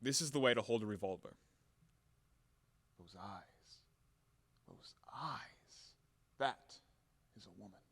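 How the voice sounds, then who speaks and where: An actor playing a brash, hot-tempered, blunt creditor in an audio play of a classic farce, with a blustering, theatrical, emphatic manner. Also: male voice, on the low side, slow pace, long pauses, fairly bright tone, slightly rough, no echo, in a large hall, heavily acted. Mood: smitten, softening.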